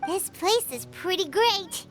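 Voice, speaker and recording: Tiny fairy sidekick, extremely high squeaky voice, swooping intonation, eager chatterbox, video game character. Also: female voice, expressive, slow, soft background music, full character voice. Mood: cheerful. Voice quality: squeaky, childlike. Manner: talks of self in third person, exclamatory. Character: tiny cheerful floating fairy companion girl, eager chatterbox. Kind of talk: animated video game voice acting.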